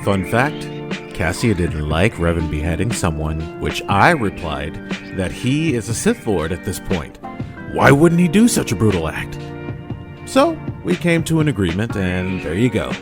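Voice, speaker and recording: Animated low-voiced man over music. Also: a little slow. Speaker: voice actor. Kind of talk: scripted personal message to listeners. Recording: music behind the voice.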